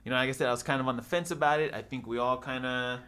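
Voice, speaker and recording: A man's voice: mid-range voice, fairly even intonation, very fast, a bit bright, clean tone. Man hosting a casual podcast. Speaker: podcast host.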